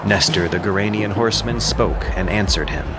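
A man's voice: deep voice, a little fast, noisy background. A man delivering scripted dramatic narration of an ancient epic as a storyteller.

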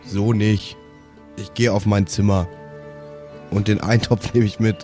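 Ein Mann spielt einen quengeligen, schnell beleidigten Zwergensohn, der sich trotzig rechtfertigt. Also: male voice, fairly low pitch, little pitch movement, a little slow, dark tone, faint music behind, lightly acted. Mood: sulky. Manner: sulky, self-justifying.

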